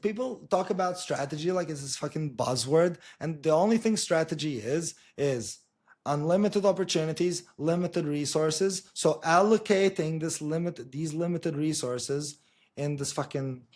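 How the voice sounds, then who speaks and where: A man's voice: a little high, darkish tone. A man from Portugal gives an informal explainer in a blunt, emphatic, sweary way, often checking in with short tag questions. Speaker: marketing agency co-founder presenting.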